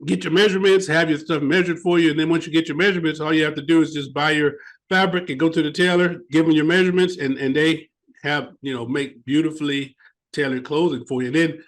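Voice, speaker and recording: An American man, a guest author in an interview, telling stories in an animated way and often repeating words as he searches for them. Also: on the high side, quick pace. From United States. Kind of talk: interview conversation.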